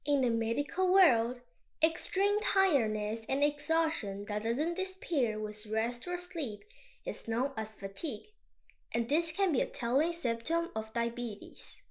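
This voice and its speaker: High-voiced woman reading dictation. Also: lively intonation, a little slow. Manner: reads each sentence twice, evenly and clearly. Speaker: dictation reader.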